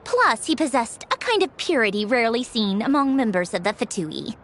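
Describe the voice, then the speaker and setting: A wry, brisk harbinger engineer. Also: female voice, a little high, sing-song. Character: sharp-tongued female Fatui harbinger engineer, bossy. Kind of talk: game voice acting. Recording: game dialogue over soft music.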